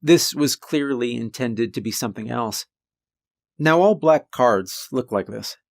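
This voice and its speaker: A man reads a first-person horror tale with a deep voice, speaking calmly and conversationally, with casual asides. His voice sits at an ordinary middle pitch. The sound is close and dry, with no room around it. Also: measured pace, creaky, no room sound. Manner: calm conversational storytelling with asides. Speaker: horror story narrator.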